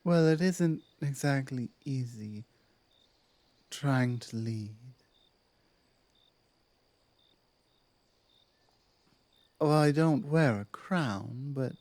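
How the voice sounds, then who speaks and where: A woman playing a dominant but softening werewolf pack leader in an ASMR roleplay, speaking in a measured, earnest way with thoughtful pauses. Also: a bass voice, no room sound, exaggerated voice. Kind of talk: ASMR roleplay, close one-on-one address.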